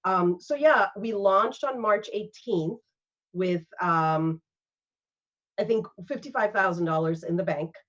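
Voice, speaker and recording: A woman's voice: mid-range voice, slow pace. A woman from Singapore, an arts fund organizer, speaking earnestly and from the heart in a panel conversation over a video call. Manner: earnest, heartfelt, with frequent fillers. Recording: dull lo-fi video call audio.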